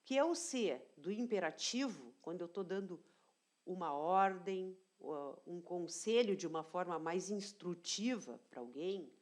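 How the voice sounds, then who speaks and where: A woman teacher explaining grammar in an online lesson, deliberate and repeating key phrases, often checking in with her students. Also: on the low side, animated, a little mellow. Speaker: language teacher.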